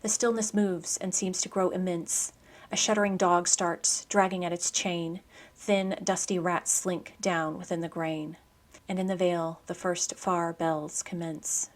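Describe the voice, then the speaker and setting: Low-pitched woman reading literary radio script. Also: level tone, measured pace. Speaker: poetry program host. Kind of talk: scripted narration and poetry reading.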